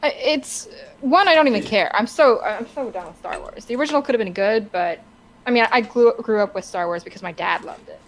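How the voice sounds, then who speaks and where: A woman co-hosting a podcast in casual, opinionated discussion, halting and stammering as she searches for words. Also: a little high, rough recording. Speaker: podcast co-host. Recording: clear background noise.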